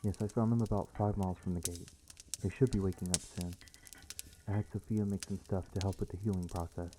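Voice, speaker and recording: Low-voiced, genteel male vampire acted by a man. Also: steady tone, dark tone, slight background noise, natural voice, poor audio. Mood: grave, concerned. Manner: formal, courteous, measured wording. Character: reclusive genteel male vampire lord, protective and calm. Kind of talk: ASMR roleplay acting, addressing the listener.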